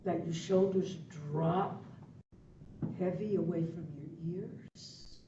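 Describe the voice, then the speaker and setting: A woman instructor leading a guided meditation, giving instructions in short phrases with long pauses between them. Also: on the low side, fairly even intonation, mellow. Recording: roomy, distant, dull, faintly noisy.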